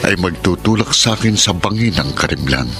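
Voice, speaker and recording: Low-voiced, lovelorn Filipino man. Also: animated, fairly quick, music in the background. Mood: anguished narration. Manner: earnest, melodramatic. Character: heartbroken, earnest young man betrayed in love. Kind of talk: melodramatic Filipino radio drama acting.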